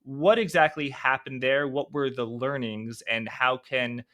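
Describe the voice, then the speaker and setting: A man's voice: mid-range voice, measured pace, fairly bright tone. Host: American man, casual, rambling, self-correcting questioner. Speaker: podcast host.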